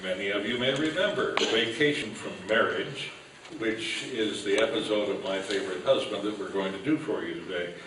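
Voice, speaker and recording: A man's voice: lowish voice, moderate pace. A man hosting a live stage event, introducing a cast in an informal, unscripted way with a self-deprecating, joking manner. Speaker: master of ceremonies introducing a cast. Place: live on stage at an old-time radio event. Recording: very echoey, noisy hall, distant mic.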